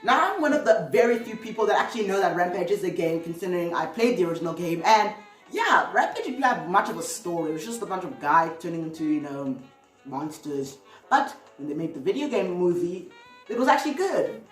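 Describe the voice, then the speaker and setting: A woman's voice: fairly low pitch, very animated, fairly quick. A woman hosting a video with casual, conversational commentary, rambling a little and adding hedging asides. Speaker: video host. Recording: roomy, echoey, distant mic with music.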